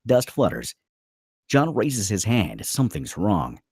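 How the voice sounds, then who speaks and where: A man's voice: medium pitch, huge pitch swings, slow pace, vocal fry, a little mellow, breathy edge, no room sound, clean studio sound. A man narrating screenplay stage directions dramatically at a table read.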